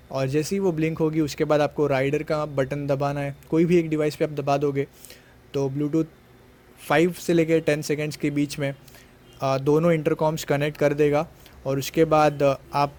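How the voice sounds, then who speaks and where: A man narrates an unboxing and review in a somewhat high voice. His intonation stays very level and unanimated. He explains each step methodically and drops English words into his speech. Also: darkish tone. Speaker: product reviewer.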